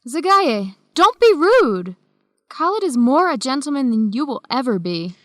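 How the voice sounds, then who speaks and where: A young woman in a radio drama, principled and direct. Her voice is a touch high and firm, captured in a dry studio. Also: very animated, unhurried, a bit bright, no echo, heavily acted. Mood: firm, indignant. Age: young adult. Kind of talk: educational radio drama acting.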